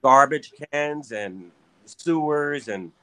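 A man's voice: on the high side, lively intonation, slow pace, fairly bright tone, clean tone, dry recording. A middle-aged man chatting eagerly on a video call, rambling with lots of fillers as he asks a fellow artist questions. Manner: enthusiastic, rambling, filler-heavy. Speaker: guest artist. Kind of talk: casual video-call interview. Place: home room with arcade cabinet.

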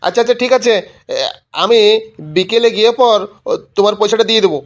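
A man's voice: high voice, steady tone, quick pace, lightly acted. A man voicing a village grandfather in a cartoon comedy, flustered and evasive, protesting and making excuses. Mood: placating. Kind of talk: amateur cartoon comedy voice acting.

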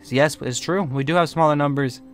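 A man's voice: fairly high pitch, brisk, faint music behind, lightly acted. A man playing a bitter, proud, suspicious warlock leader in an amateur game roleplay, brooding dramatically and snapping back with sassy slang.

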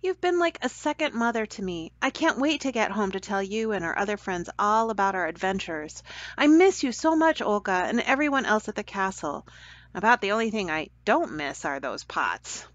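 Kind earnest boy, put on by a woman reader, sincere and reassuring, lifted pitch, dull dry audio. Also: big pitch swings, moderate pace, a bit bright, no echo. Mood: affectionate.

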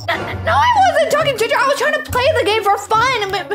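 A woman's voice: very high voice, animated, fast. A woman in her twenties giving exaggerated, dramatic gameplay commentary for kids, playful and full of exclamations. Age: 20s. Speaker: host of a gaming show for kids. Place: small indoor streaming room. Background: music.

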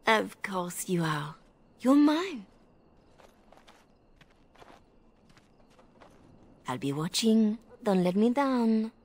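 Breathy, seductive spymistress. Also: female voice, mid-range voice, big pitch swings, takes it slowly. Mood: possessive. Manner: teasing, possessive, silky. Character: seductive, commanding female spymaster and mentor. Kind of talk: video game voice acting.

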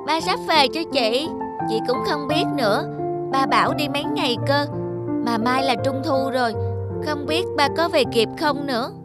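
A woman voicing a small child devoted to their father in an animated tale, childlike and eager. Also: high voice, moderate pace, natural voice. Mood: wistful. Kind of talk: animated moral-tale voice acting. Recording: music under the voice.

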